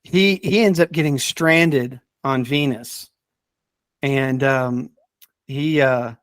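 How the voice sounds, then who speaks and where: A man in a casual podcast discussion, speaking in a hesitant, halting way and often repeating words as he reviews stories. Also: mid-range voice, fairly bright tone, no echo.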